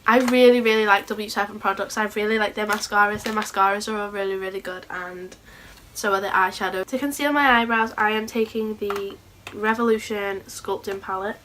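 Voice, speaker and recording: A woman with a sore throat and a hoarse voice, chatting casually and a bit scatterbrained through a makeup tutorial. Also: mid-range voice, steady tone, fairly quick, fairly bright tone, a little hiss or hum. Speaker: beauty vlogger.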